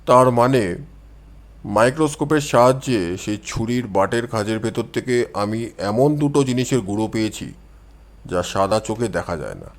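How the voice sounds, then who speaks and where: A man voicing a calm, confident male detective in an audio story, explaining deductions in a measured, self-assured manner. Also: lowish voice, pitched below the performer's own voice.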